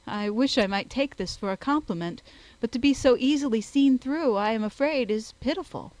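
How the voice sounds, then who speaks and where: Woman reading as a young gentleman, amiable, eager, good-natured, impulsive, classic novel character. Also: on the high side, expressive, normal speed, no room sound, pitched above the performer's own voice, natural voice, low-quality recording. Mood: cheerful, playful.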